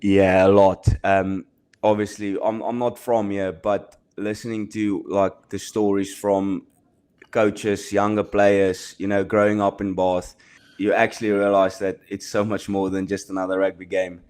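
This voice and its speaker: A man's voice: lowish voice, little pitch movement, unhurried, a little mellow, smooth. Modest young South African man, hesitant. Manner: modest, hesitant, many restarts. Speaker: rugby player guest. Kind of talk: casual podcast chat.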